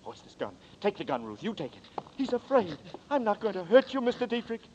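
A desperate man on the run in a 1940s radio drama, intense and pleading. His fairly high voice swings widely. The broadcast sound is dull and lo-fi. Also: big pitch swings, fast talker. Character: desperate hunted young man, Danny Nearing. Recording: dull old radio recording.

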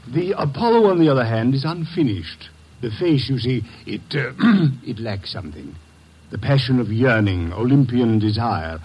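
A husky, haughty sculptor father. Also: mid-range voice, very animated, moderate pace, highs cut off. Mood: lecturing. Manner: florid, lecturing, condescending. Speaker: suspect's father. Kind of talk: 1940s radio acting.

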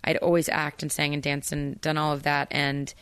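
A woman from Maryland with a low voice, an actress chatting in an interview and rambling with many fillers and restarts. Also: monotone, moderate pace, fairly bright tone, clear, resonant tone, no echo. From Maryland, USA. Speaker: actress, guest. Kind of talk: casual interview chat.

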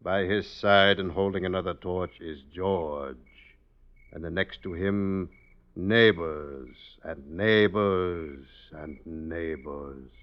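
Very deep, slow scheming husband. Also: male voice. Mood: grave. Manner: slow, deliberate, repetitive phrasing. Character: cold scheming husband behind a murder, deliberate. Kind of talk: old-time radio drama acting. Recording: muffled old radio recording.

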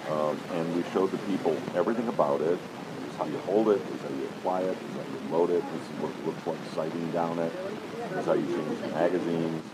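A man with a deep voice, the founder of a convention weapons exhibit, telling anecdotes in an interview. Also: fast, very noisy. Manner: deep voice, storytelling with acted-out quotes.

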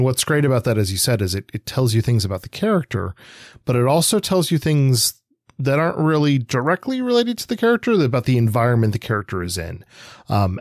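A man co-hosting a book podcast, working through his analysis in a measured, hesitant, conversational manner. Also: on the low side, darkish tone, dry recording, clean studio sound. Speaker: podcast co-host.

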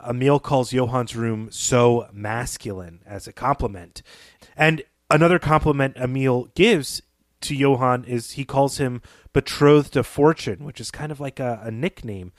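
A man's voice: medium pitch, measured pace, no room sound, studio quality. A man co-hosting a podcast, offering thoughtful, conversational literary analysis. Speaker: podcast co-host.

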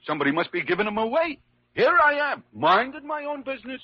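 An actor playing a slangy, good-natured, stammering ambulance driver in an old radio drama. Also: male voice, extremely high pitch, big pitch swings, quick pace, dry recording, full character voice. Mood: bewildered. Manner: stammering, folksy. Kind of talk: 1940s radio comedy-drama acting. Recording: dull, hissy vintage radio recording.

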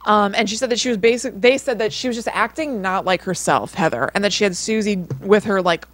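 A woman's voice: medium pitch, brisk, no room sound. A woman co-hosting a podcast, telling stories in a casual, rambling way with wry, playful teasing. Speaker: podcast co-host. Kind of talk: casual chatty storytelling.

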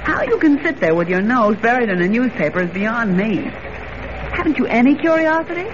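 A woman's voice: fairly high pitch, big pitch swings, brisk. A woman playing an impatient, bossy, curious young newspaper reporter in 1940s radio drama, with an exasperated, scolding manner. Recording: muffled, noisy old radio recording.

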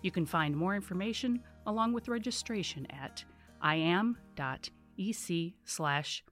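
A woman announcer reading a scripted event promotion in a warm, inviting way. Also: lowish voice, lively intonation, measured pace, soft background music.

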